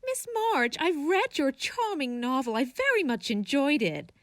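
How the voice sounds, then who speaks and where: Casting: polite but judgmental period gentlewoman, high voice, very lilting pitch. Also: very animated, measured pace. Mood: politely condescending. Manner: formal, genteel. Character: genteel, polite, judgmental society young lady. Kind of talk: audio drama acting of a period novel.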